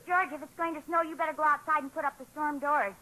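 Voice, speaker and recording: A very noisy old radio recording of a woman acting a practical 1940s mother. Her high voice is gently wry and matter-of-fact. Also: steady tone, quick pace, natural voice.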